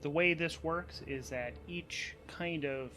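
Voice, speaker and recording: A man's voice: fairly high pitch, slow pace, pushed and bright, slight background noise. A man hosting and narrating a solo tabletop role-playing game, thinking aloud as he goes. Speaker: host, narrator and game master. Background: background music.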